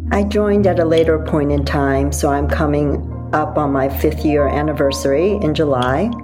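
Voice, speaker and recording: A woman from South Korea, a company executive, giving composed, measured answers in an interview. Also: low-pitched, over music.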